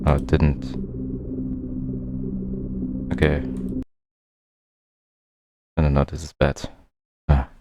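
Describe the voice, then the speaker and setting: Very low-voiced man thinking aloud while gaming. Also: completely flat delivery, slow, pauses often, mellow, soft background music. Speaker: game streamer, let's-player.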